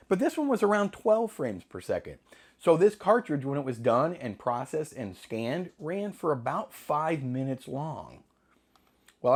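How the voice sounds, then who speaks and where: Middle-aged male vlogger, slightly hoarse, rambling and jokey, lively up-and-down delivery. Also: on the high side. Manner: rambling, self-deprecating, jokey asides. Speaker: film hobbyist channel host. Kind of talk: casual talk to camera.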